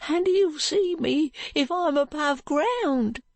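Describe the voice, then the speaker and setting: A woman voicing a frightened, pitiful ragged street boy with a high, cracked, tearful voice and slurred street speech. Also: animated, slow pace, no echo, full character voice. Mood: pleading.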